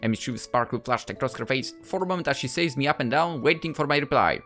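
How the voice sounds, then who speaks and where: A woman's voice: extremely low pitch, quick pace, fairly bright tone, soft background music. A woman hosting a gaming video, reading story narration and adding amused, rambling casual commentary.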